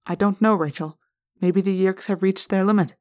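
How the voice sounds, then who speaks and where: A woman's voice: medium pitch, steady tone, normal speed, darkish tone, close to an everyday voice. Woman as an earnest, oddly formal young alien boy. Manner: formal, precise, toys with word sounds. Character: young male alien in human form, earnest, literal-minded.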